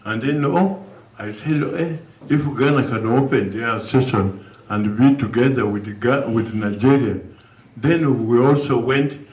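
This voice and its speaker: An older man from Uganda, speaking earnestly to a community gathering in halting, restarting sentences. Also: medium pitch, a little mellow. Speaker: guest speaker. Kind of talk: community speech. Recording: echoey room from far off.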